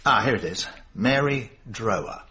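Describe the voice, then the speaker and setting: An actor playing a middle-aged English chief inspector in a radio drama, blunt, colloquial and matter-of-fact. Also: male voice, on the low side, expressive, measured pace, sharp tone, husky, exaggerated voice. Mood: businesslike. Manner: colloquial, dry, businesslike. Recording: noisy radio drama ambience.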